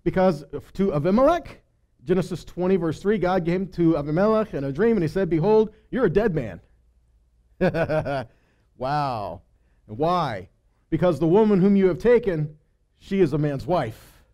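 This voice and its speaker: A man with a slightly high, mellow voice teaches scripture in an informal sermon style. He tells the stories like a storyteller, paraphrasing the dialogue in everyday language. He often punctuates his points with short rhetorical check-ins. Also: expressive, a little slow, long pauses. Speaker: Bible teacher leading a weekly Torah study.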